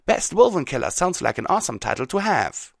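A man voicing a hot-headed, proud female cartoon pony with a forceful, defiant delivery. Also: mid-range voice, big pitch swings, a little fast, no room sound, clean studio sound, voice pushed up.

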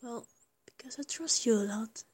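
A woman playing a breathy, whispering small girlfriend. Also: fairly high pitch, moderate pace, darkish tone. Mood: tender. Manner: stammering, rambling, giggly. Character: small affectionate young woman, shy but sweary lover. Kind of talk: whispered intimate roleplay audio.

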